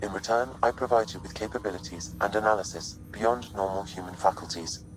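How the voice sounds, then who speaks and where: Very deep, measured man voicing an artificial intelligence. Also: background noise, close to an everyday voice. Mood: calm, analytical. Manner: measured, formal, precise explanations. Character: calm, analytical male artificial intelligence aiding an explorer. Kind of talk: scripted video game dialogue.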